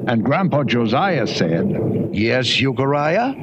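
An actor playing a folksy storybook narrator, telling a tale. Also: male voice, mid-range voice, very animated, takes it slowly, muffled recording, very noisy. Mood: measured. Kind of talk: slow storybook-like film narration.

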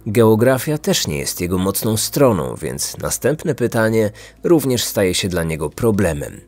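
A man in his thirties narrates a scripted true-crime story. His voice sits low, and music plays underneath. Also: very animated, measured pace, a little mellow. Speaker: true-crime podcast host.

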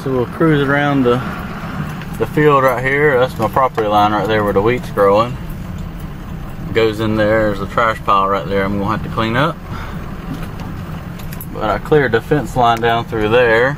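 A man giving a relaxed, plain-spoken tour of his land, walking and then driving. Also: lowish voice, normal speed, pauses often. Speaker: landowner showing his farm. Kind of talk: casual walk-and-drive tour narration. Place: outdoors in fields, then inside a moving pickup truck. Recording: clear background noise of truck engine and outdoors.

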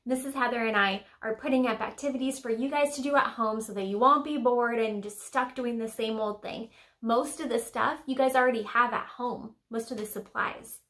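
High-voiced young woman teaching kids. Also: normal speed, a bit bright. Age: young adult. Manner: simple, chatty, questioning address to children. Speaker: children's church teacher and host. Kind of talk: children's Sunday school lesson to camera. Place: small decorated room indoors.

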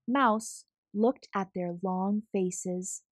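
A woman reading a picture book aloud to children in an expressive, deliberate, theatrical style. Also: mid-range voice, no room sound, studio quality. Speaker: children's story reader.

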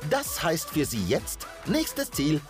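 A man's voice: a little high, huge pitch swings, takes it slowly. A man narrating a reality documentary in a playful, sing-song way. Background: music.

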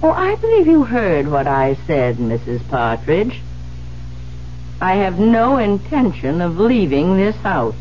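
An actress playing a mild-seeming but quietly defiant secretary, measured and pointed, in a 1970s radio drama. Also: female voice, lowish voice, sing-song, a little hiss or hum. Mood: coolly defiant. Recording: dull, humming 1970s radio recording.

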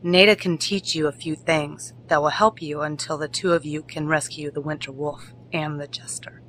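Woman acting commanding fantasy lady, low, solemn, measured, faint background noise. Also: fairly even intonation, a bit bright, rough recording. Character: grave, commanding noble lady of fantasy realm. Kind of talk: fantasy audio drama acting.

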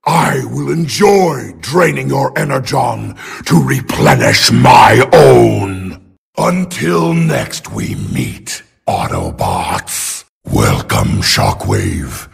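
An actor playing a ruthless, imperious giant robot warlord in a video game, with a gravelly snarl and a menacing, grandiose manner. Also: male voice, medium pitch, very animated, very slow, heavily acted. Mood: enraged. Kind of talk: video game villain acting.

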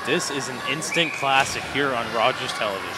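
A man's voice: medium pitch, animated, fairly quick. A man giving colour commentary on a hockey shootout, breaking down each shot with eager praise. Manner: enthusiastic analysis between plays. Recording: crowd and arena noise behind.

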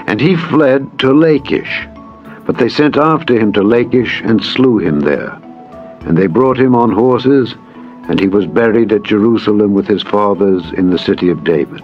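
Deep-voiced solemn male scripture narrator over music. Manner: measured, formal, deliberate phrasing. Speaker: Bible narrator. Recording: dull lo-fi audio, music behind. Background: background music.

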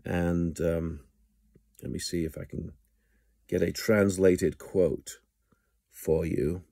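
A middle-aged man giving casual video commentary from home into a phone held close, halting and full of ums and restarts. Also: low-pitched, steady tone, vocal fry, warm tone, no echo. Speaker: news blogger. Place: indoors at home, small room. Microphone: phone camera held close.

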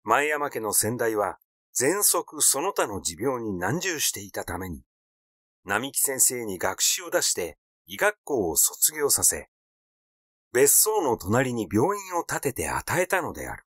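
A man reading a literary story aloud as a scripted, measured narration. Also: medium pitch, big pitch swings, a bit bright, no echo, studio quality. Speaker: audiobook reader.

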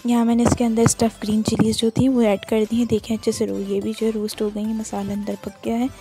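A woman's voice: on the high side, little pitch movement, fast talker, dark tone, soft background music. A woman with a Pakistani Urdu accent, walking through a home recipe step by step as she cooks in her kitchen. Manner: plain, instructive, explains each step while working. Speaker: cooking channel host.

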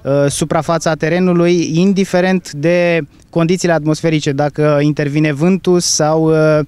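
Clear, smooth-voiced product specialist, male. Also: a little high, steady tone, a little mellow, no echo. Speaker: agricultural machinery product specialist. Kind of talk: explaining equipment in an interview. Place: outdoors in a field.

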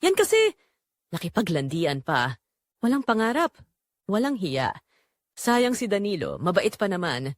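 Woman doing a scornful gossiping neighbor, swooping pitch. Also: big pitch swings, a little slow, long pauses, no echo, clean studio sound. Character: spiteful, judgmental gossiping neighbor.

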